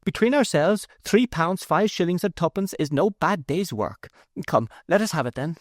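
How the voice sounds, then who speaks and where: A man reading aloud, voicing a shrill, quick-tempered middle-aged mother with raised, exclaiming lines. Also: lively intonation, dry recording, clean studio sound, close to an everyday voice. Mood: delighted.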